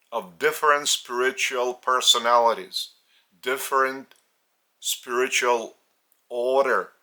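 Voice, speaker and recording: A man with a Lithuanian accent, a spiritual teacher speaking in short halting phrases with restarts. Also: mid-range voice, pushed and bright.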